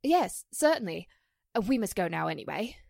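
Creaky, animated actress played by a woman. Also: medium pitch, normal speed, no echo, clean studio sound. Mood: polite. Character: polite, dramatic famous actress. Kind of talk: 1940s radio drama acting.

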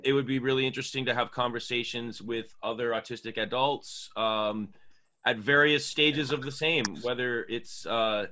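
Bright-voiced Canadian man hosting a podcast. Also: medium pitch, steady tone, moderate pace. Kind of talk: casual conversational podcast hosting with pauses.

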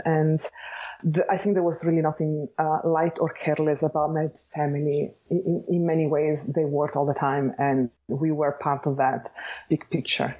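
A woman in her forties with an Italian accent, giving thoughtful, measured answers as an interview guest. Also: low-pitched, dark tone, lo-fi sound. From Italy, Bologna. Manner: thoughtful, measured, searching for words. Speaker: architect and educator, guest.

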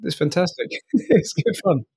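A man's voice: high voice, unhurried, breathy edge. Interview guest: man, forties, from France, blended French and Northern Irish accent, easygoing, repeats words as he thinks. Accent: mixed French and Northern Irish. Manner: relaxed, frequent restarts and small laughs. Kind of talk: casual interview chat.

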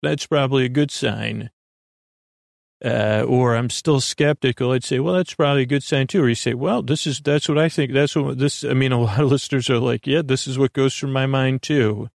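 A man with a gravelly voice hosting a podcast, rambling through an unscripted bedtime monologue in a hesitant, self-deprecating, meandering way. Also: normal speed, no echo, studio quality. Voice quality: gravelly, creaky. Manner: self-deprecating, low-key. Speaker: podcast host.

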